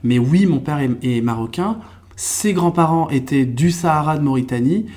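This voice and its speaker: A young man from France, a podcast guest, telling his life story in a relaxed, reflective way with lots of fillers and restarts. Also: on the high side, moderate pace. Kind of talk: casual conversational storytelling.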